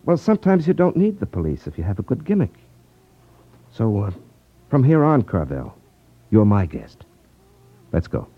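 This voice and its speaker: An actor playing a confident, wry detective in a 1950s radio drama, explaining things with self-assurance. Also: male voice, fairly low pitch, huge pitch swings, moderate pace, pauses often, exaggerated voice. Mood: wry amusement. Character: confident, wry male ex-magician rackets detective. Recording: muffled 1950s radio recording.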